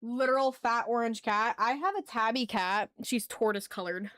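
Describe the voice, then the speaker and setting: A woman's voice: fairly high pitch, normal speed, fairly bright tone, clean tone, dry recording, clean studio sound. A woman hosting an art livestream, chatting casually and slangily with her viewers into a sensitive microphone. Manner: slangy, informal. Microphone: sensitive ASMR microphone.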